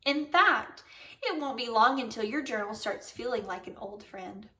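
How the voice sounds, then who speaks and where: A woman's voice: on the high side, lively intonation, moderate pace, pushed and bright. A woman reading a children's book aloud, voicing a kindly, upbeat male first-grade teacher addressing his class. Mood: friendly, upbeat. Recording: roomy echo, distant microphone.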